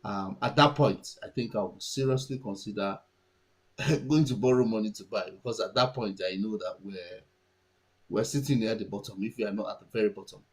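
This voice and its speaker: A man's voice: lowish voice, a little fast, slightly rough, rough recording. A man whose first language is Yoruba, giving casual market commentary and thinking aloud with many restarts and fillers.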